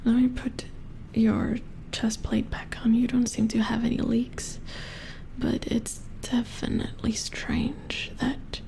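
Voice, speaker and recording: A woman acts out a female engineer who repairs robots in an ASMR roleplay. She whispers slowly and haltingly, puzzled and a little grumbly, muttering to herself with a creaky edge. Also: fairly high pitch, darkish tone, rough recording. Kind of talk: soft-spoken ASMR roleplay.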